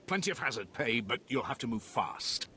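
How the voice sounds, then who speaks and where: Game army sergeant, urgent, commanding, bright forceful voice, swooping intonation, faint background noise. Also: male voice, mid-range voice, sing-song, moderate pace. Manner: forceful, commanding, clipped military briefing. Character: urgent Imperial military sergeant rallying against rebels. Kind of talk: video game quest dialogue.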